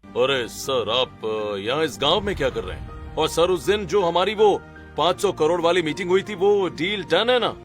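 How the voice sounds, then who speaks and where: A man's voice: on the high side, expressive, moderate pace, over music, close to an everyday voice. A man playing a deferential, puzzled businessman in a cartoon, hesitant and respectful.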